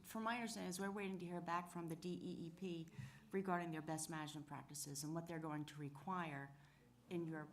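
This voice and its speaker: A woman's voice: on the low side, steady tone, brisk. A woman speaking directly and informally as a commission member questioning people at a public meeting. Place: indoor municipal meeting room. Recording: noisy town meeting room.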